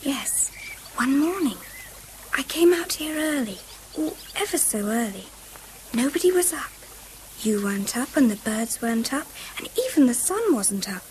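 An earnest, dreamy little English girl in a vintage radio drama. Her voice is high and very lively, with precise, eager delivery over a faint hiss. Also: moderate pace, poor audio. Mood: hushed wonder. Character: earnest, imaginative little girl, politely chatty. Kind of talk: 1970s British radio drama acting. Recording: faintly hissy old radio broadcast.

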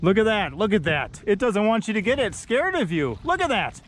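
A middle-aged man filming outdoors on a lake with an action camera, excitedly coaching his young son through landing a fish. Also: high voice, brisk, slight background noise. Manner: encouraging, excited, coaching a child. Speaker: vlogger. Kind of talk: casual coaching commentary.

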